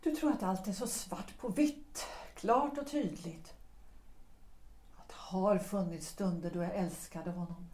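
An actress playing a melancholy, composed married woman who speaks in measured, reflective tones, recorded in an echoey room. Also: mid-range voice, warm tone, natural voice.

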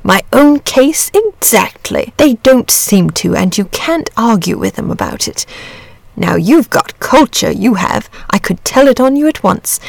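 Lady doing a lazy friendly storybook dragon guy, chatty n polite, kinda creaky, dry close sound. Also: mid-range voice, no echo. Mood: eager, friendly. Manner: chatty, rambling, genteel, politely earnest. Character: amiable, lazy, bashful, poetry-loving male dragon. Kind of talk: storybook character reading.

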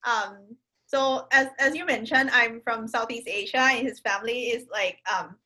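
A woman from Thailand, a podcast guest telling a personal story in casual conversation, pausing often with fillers and restarts. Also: fairly high pitch, pushed and bright, rough recording. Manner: hesitant, many fillers and restarts.

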